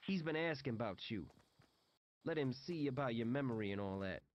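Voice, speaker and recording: A man's voice: mid-range voice, animated, brisk, lo-fi sound, close to an everyday voice. A man voicing a composed, pragmatic male inmate leader in an audiobook, reasoning firmly and pointedly. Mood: practical.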